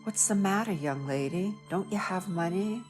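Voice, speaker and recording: A man's voice: high-pitched, normal speed, music in the background, close to an everyday voice. A woman voicing a talkative, friendly middle-aged male taxi driver with an Australian accent. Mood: puzzled.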